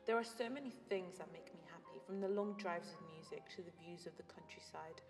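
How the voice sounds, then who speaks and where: A teenage young woman with a fairly low voice speaks a scripted, confessional monologue, partly in rhyme. She addresses a friend in a reflective way. Her voice carries a long reverb, with music playing behind it. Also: fairly even intonation, fairly quick. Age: teens. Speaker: student narrating an audio journal.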